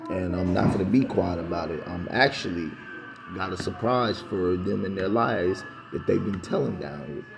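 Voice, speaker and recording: Deep gravelly man ranting. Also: normal speed, music in the background. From United States. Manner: insistent, repetitive, emphatic. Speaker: vlogger. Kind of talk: rambling to-camera monologue.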